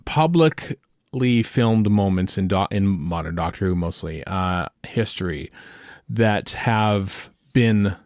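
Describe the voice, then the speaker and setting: Male podcast host, low register, loose thinking-aloud delivery, dry recording. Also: expressive, slow pace, darkish tone, no echo. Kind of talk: casual panel chat about television.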